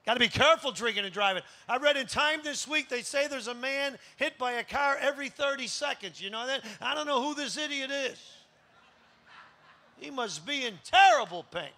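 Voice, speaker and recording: A man's voice: very high-pitched, lively intonation, normal speed, sharp tone. A man performing live stand-up comedy, telling jokes and teasing the audience.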